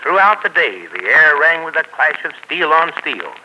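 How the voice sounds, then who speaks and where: A man narrating a knightly adventure in measured storytelling, for 1940s radio. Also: a little high, big pitch swings, highs cut off. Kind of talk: scripted narration. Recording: noisy 1940s radio broadcast.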